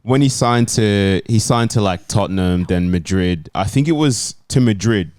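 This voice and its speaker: A man's voice: on the low side, moderate pace, a little mellow, smooth, no echo. A man on a football podcast telling anecdotes and trading banter in informal, slangy language.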